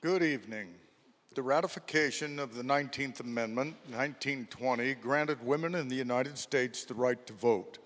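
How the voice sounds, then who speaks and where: A man narrating history from a script for a staged reading, with formal, deliberate phrasing, in a reverberant hall. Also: medium pitch, a bit bright. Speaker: narrator of a readers theater. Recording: echoey hall with long reverb.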